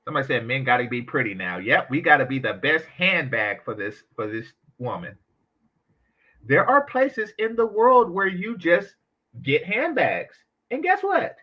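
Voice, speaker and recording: A man hosting a reaction commentary, talking in an animated, sarcastic way with mocking asides. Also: a little high, normal speed, muffled recording. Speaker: commentary channel host.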